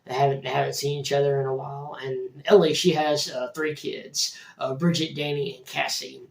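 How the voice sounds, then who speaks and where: Hoarse male reviewer with a cold. Casual and rambling, often restarting. Recorded in an echoey room from a distance. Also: mid-range voice, flat delivery, moderate pace. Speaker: movie reviewer, channel host. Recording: roomy echo, distant mic.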